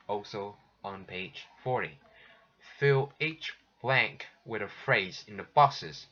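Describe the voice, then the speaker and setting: A man's voice: lowish voice, sharp tone. A man going through textbook exercises as an English teacher, patiently, with frequent restarts. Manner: patient, slow, restarts often. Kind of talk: recorded textbook lesson walkthrough. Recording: dull lo-fi sound.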